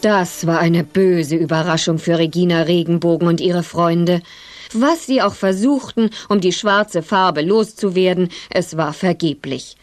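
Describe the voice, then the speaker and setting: A woman's voice: on the low side, a little slow, faint music behind. A woman narrating a scripted story for children as the storyteller.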